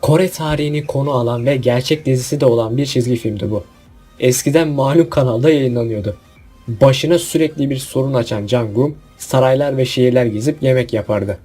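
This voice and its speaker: A man's voice: medium pitch, fairly quick, mellow, husky, soft background music. A man hosting a casual list video, giving opinionated commentary with jokey asides and rhetorical questions. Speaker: video host.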